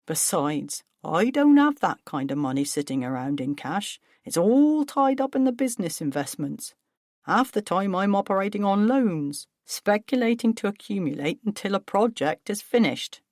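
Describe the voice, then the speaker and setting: A woman's voice: mid-range voice, very animated, moderate pace, a little mellow, no room sound, studio quality, pitched above the performer's own voice. A woman voicing a flustered, self-pitying middle-aged businessman who turns defensive and agitated. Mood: rueful. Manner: agitated, defensive, rising to outbursts.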